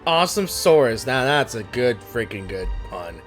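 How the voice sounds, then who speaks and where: A man's voice: mid-range voice, sing-song, normal speed, music in the background. A man streaming a game with casual, jokey, reactive commentary. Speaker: gaming streamer.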